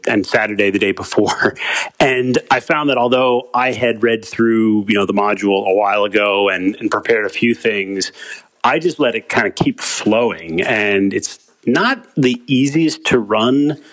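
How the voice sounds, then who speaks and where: A man's voice: lowish voice, moderate pace, a bit bright, low-quality recording. A man calling in to a podcast with a casual, rambling, easygoing voice message. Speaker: podcast caller.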